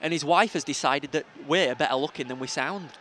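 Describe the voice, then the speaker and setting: A young Yorkshire man co-hosts a fan podcast with cheeky, teasing banter. His voice sits a little high. Faint stadium noise carries around him outdoors. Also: brisk, bright, forceful tone. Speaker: podcast co-host. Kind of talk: casual banter. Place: outdoors in football stadium stands.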